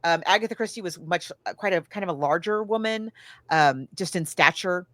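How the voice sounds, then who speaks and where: Female podcast co-host, lowish voice, dry close sound, gushing and enthusiastic in casual chat. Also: fairly quick, a bit bright, no echo. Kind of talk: casual film and book discussion.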